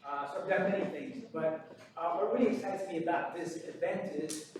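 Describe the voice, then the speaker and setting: Earnest man, high voice, very echoey hall. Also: moderate pace, slight background noise. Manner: earnest, repetitive, rhetorical questions. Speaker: pharmacist and teacher speaking at seminar. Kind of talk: motivational talk. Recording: very echoey hall, distant mic.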